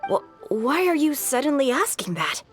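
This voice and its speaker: A woman's voice: high voice, big pitch swings, normal speed, faint music behind. A woman voicing a polite, bookish boy in a video game, speaking in a hesitant, defensive way. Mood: flustered, evasive. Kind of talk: English video game voice acting.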